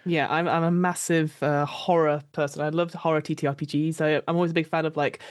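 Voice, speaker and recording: A woman's voice: deep voice, little pitch movement, fast talker. A woman guesting on a roleplay podcast, chatting casually in an effusive way. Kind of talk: casual chat.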